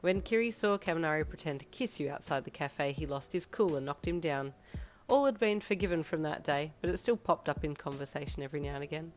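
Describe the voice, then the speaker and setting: A woman with a hoarse, failing voice narrating a fanfiction story aimed at the listener. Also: low voice, expressive, fairly quick. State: hoarse, losing her voice. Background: soft lofi piano music.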